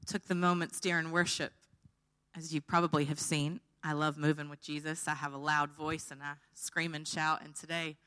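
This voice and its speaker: A woman's voice: low-pitched, level tone, normal speed, bright, forceful tone, clear, resonant tone. A woman preaching an informal sermon, her voice tired and held back, often checking in with her listeners.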